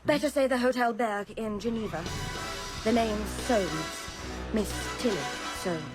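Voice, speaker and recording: An actress playing a feisty, guarded young British woman motorist in a radio play, brisk, clipped and haughty. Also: on the high side, lively intonation. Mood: brisk insistence. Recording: radio drama with music behind.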